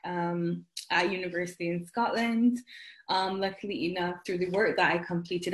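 A woman from Barbados answers questions as a podcast guest in a friendly, chatty way, with fillers along the way. Her voice rings in a roomy space. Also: on the low side. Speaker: guest, trainee sport psychologist. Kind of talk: interview answers. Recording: roomy echo.

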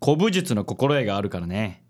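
ラジオドラマで、自信に満ちた紳士的な気取った色男を演じる男性。. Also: male voice, fairly low pitch, sing-song, moderate pace. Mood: puzzled but composed. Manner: smooth, affected gallantry. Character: suave, self-assured handsome gentleman charmer. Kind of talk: radio drama acting.

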